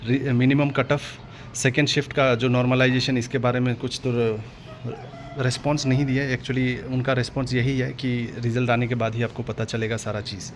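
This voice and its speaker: A man's voice: medium pitch, level tone, moderate pace, a little hiss or hum. An Indian man hosting an exam-update video, talking casually and directly to viewers with frequent agreement-seeking tags. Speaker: exam-update YouTube host.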